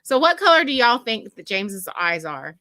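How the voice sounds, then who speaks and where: A woman, co-hosting a casual live chat in an animated, chatty way. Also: on the high side, normal speed, no echo. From West Texas. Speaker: co-host.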